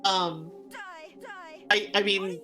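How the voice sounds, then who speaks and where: A woman co-host chatting casually about a game, thinking aloud in a halting way. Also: medium pitch, steady tone, sharp tone, soft background music. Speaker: gaming co-host. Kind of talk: casual chat reviewing a game.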